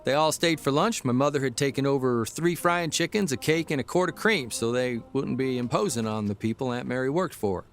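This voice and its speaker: Soft music sits under a man playing a folksy newspaper columnist in a radio drama. He speaks at a medium pitch in a homespun, conversational storyteller's way, with a dry wryness. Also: a little fast, hardly any pauses, natural voice. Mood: wry, amused. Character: folksy newspaper columnist, a worried, tender husband.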